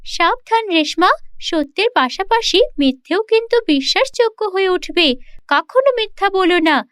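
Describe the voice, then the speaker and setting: A woman plays a wise enchanted mirror in a cartoon fairy tale. The voice is soft, very high and dry. It counsels gently, cautioning and reassuring. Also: expressive, moderate pace, no echo. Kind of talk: children's cartoon story dialogue.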